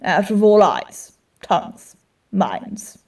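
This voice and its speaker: A woman playing a calm, compassionate friar in a Shakespearean radio play, giving measured, solemn and persuasive counsel. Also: medium pitch. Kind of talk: Shakespearean radio drama acting. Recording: home recording, slightly distant mic.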